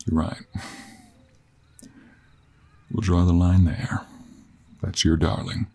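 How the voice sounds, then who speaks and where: A man voicing a confident, sly cowboy monster hunter with a gravelly voice and an American Western accent in a fantasy audio roleplay. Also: deep voice, warm tone, exaggerated voice. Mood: wry, negotiating.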